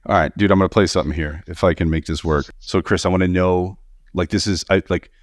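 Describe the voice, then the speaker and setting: A man in his early forties with a low voice chats in slangy, teasing banter. He curses freely as he riffs. The recording is dry and close. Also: steady tone, quick pace, no room sound. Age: early 40s. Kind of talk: casual banter.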